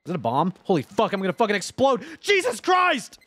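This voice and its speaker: Lively, animated young man streaming. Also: high voice, brisk, dry recording. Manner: loud, swearing, joking, animated. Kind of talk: casual gameplay commentary with chat. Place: home gaming room, indoors. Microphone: headset.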